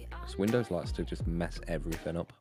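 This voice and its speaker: A man's voice: deep voice, a little fast, a little mellow, breathy edge, no echo, faint music behind. A British man bantering casually with friends during a game stream, teasing and argumentative. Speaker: gamer friend on a voice call.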